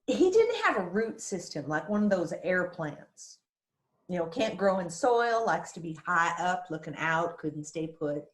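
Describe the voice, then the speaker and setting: A woman's voice: lowish voice, big pitch swings, measured pace. A woman playing a fearless, protective middle-aged single mother from a small town in a screenplay read, in a roomy recording. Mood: wistful. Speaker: actor in a screenplay table read. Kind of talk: dramatic screenplay table read. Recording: roomy, echoey sound.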